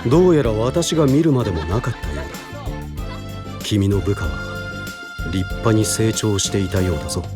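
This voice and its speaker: A man's voice: on the low side, animated, music in the background, lightly acted. A man playing an authoritative prosecutor in an audio drama, speaking formally with slyly knowing composure. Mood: quietly proud. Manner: formal, measured, lofty. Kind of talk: Japanese audio drama acting.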